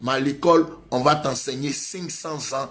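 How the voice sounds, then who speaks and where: A man's voice: medium pitch, steady tone, moderate pace, a bit bright. A male pastor preaching a sermon, urging his congregation with emphatic, repetitive exhortations.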